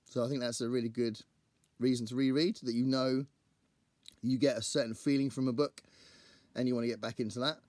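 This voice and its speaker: A man hosting his own book channel, talking casually to camera in a rambling, think-aloud way, his voice croaky from singing at a gig the night before. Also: medium pitch, fairly even intonation, brisk, a little mellow, no echo.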